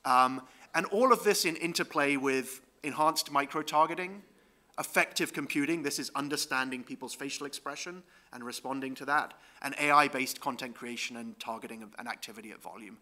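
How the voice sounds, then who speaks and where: A man's voice: medium pitch, fairly quick, pushed and bright. A man giving a conference talk over slides, explaining step by step with frequent ums and "right?" checks. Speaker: conference presenter.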